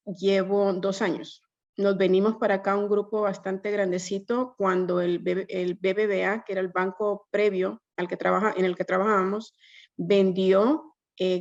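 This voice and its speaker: Guatemalan woman in her fifties, gravelly. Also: medium pitch. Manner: conversational, mixes English fillers into Spanish. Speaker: mortgage loan officer, guest. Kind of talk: webinar self-introduction. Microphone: video call. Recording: dull lo-fi video call audio.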